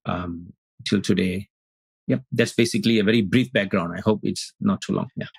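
A middle-aged man from Singapore, a teacher speaking as a podcast guest over a video call, thoughtful and halting with many restarts. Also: lowish voice, vocal fry, breathy edge, no echo. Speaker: guest, Advaita Vedanta teacher. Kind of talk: casual interview talk. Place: indoor room on video call.

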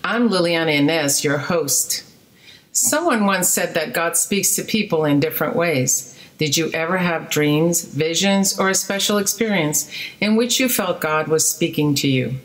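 A woman hosts a radio interview program with a low-pitched voice. She introduces the show and asks her questions calmly, at a measured pace. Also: poor audio.

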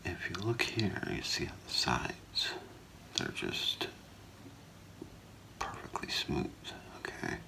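A middle-aged man whispering softly and haltingly in an ASMR role play as a gemologist talking a client through an appraisal. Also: huge pitch swings, low-quality recording. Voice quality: breathy low whisper with gravelly creak. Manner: hushed, unhurried, halting, explaining gently to a client. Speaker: ASMR performer playing a gemologist. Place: small indoor room, seated before a curtain.

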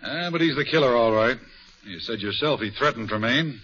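A man's voice: medium pitch, lively intonation, a little fast, a bit bright, lightly acted. A man playing a bluff police inspector. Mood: confident. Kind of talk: 1940s radio acting. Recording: dull lo-fi vintage radio broadcast.

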